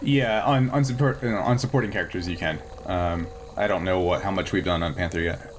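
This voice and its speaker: A man's voice: low voice, fairly quick, slight background noise. A man acting as game master, talking casually through a tabletop role-playing game in a jokey, easygoing way.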